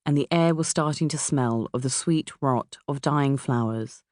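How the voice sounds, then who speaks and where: A woman's voice: very deep voice, normal speed, a little mellow, no echo. Dry, close southern English woman narrator. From England. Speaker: author narrating own audiobook. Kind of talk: scripted memoir narration. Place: dry recording studio.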